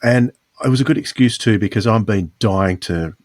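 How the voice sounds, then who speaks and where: A man's voice: lowish voice, moderate pace, a little mellow, no echo, clean studio sound. A man hosting a podcast in a casual, conversational style. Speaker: podcast host.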